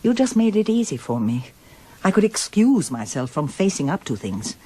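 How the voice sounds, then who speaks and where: An actress playing a candid, reflective middle-aged wife in a radio drama, speaking articulately and earnestly. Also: female voice, lowish voice, big pitch swings, normal speed, dark tone, husky. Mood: frank, defiant. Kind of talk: radio drama acting, both dialogue and first-person narration.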